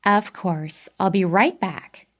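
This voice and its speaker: A woman voicing a cheerful, plump female nurse who is loud, peppy and sweet. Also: medium pitch, slow.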